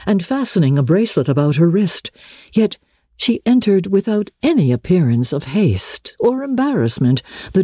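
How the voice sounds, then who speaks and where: A woman narrating classic literature as a dramatic reading, with expressive, measured storytelling. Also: low-pitched, breathy edge, no echo, studio quality. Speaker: audiobook narrator.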